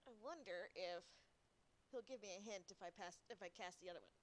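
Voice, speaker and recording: A woman's voice: medium pitch, brisk, slight background noise, poor audio. A woman giving casual, playful playthrough commentary as a game streamer, thinking aloud into a streaming mic.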